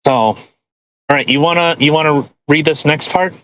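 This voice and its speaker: A man co-hosting a podcast, giving casual commentary in halting, rambling explanations peppered with "you know". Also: a little high, fairly bright tone, poor audio. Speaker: podcast co-host.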